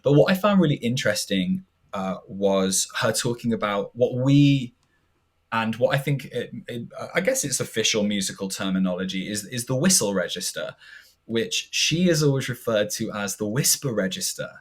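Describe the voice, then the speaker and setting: A man's voice: lowish voice, animated, moderate pace. A man, co-hosting a podcast and explaining things in a chatty way with hesitant fillers. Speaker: podcast co-host. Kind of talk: casual podcast commentary.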